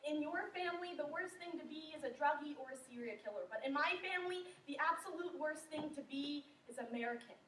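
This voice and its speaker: A woman's voice: high-pitched, fairly quick, hardly any pauses, a bit bright, slight background noise. A young woman acting a rebellious teenage daughter of strict parents in a stage play, with an earnest, defiant delivery. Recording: echoey hall from far off.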